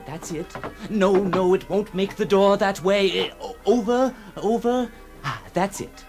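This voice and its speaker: A man's voice: high-pitched, a little slow, music in the background. A man voicing a fussy, deferential law clerk who keeps indignantly repeating himself in an audio drama.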